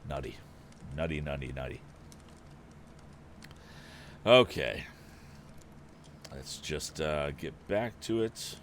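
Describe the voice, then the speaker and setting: Male story narrator and host, low register, expressive and swinging in pitch, slight background noise. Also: slow, pauses often. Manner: expressive, dramatic reading. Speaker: host and reader of a live story stream. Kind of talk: audiobook-style narration with casual asides.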